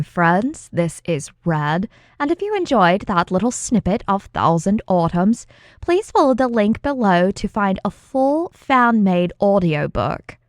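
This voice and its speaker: A woman's voice: medium pitch, dry recording, clean studio sound. A woman reading scripted story narration as an audiobook narrator.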